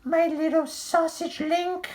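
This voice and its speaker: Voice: very high voice, level tone, slow, a little mellow, pitched above the performer's own voice. A storyteller voicing a doting, gushing mother dachshund in a storybook, with a cooing, singsong call. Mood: doting excitement.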